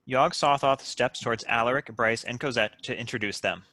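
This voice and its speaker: A man's voice: medium pitch, normal speed, pushed and bright, no echo. A man giving scripted narration, reading out the stage directions of a screenplay.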